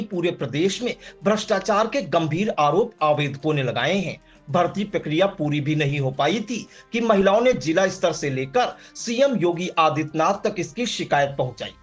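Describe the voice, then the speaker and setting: Higher-pitched male news narrator.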